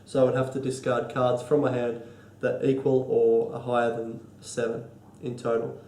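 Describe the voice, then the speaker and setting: An Australian man with a cold and a stuffy nose, explaining board game rules in a halting, casual way full of ums and restarts. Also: mid-range voice, flat delivery, a little mellow, roomy sound, slight background noise. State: congested from a cold. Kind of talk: casual tabletop rules walkthrough.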